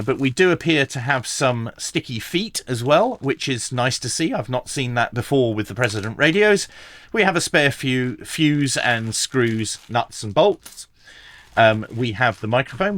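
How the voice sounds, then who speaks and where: A man's voice: medium pitch, fairly bright tone. A man giving a casual, explanatory product review in a conversational, understated way. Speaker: radio equipment reviewer.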